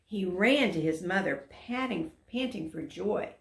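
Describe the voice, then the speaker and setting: Woman storyteller, children's read-aloud, emphatic, very lively intonation, gravelly edges, echoey room. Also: on the low side, measured pace. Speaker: volunteer story reader. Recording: roomy echo.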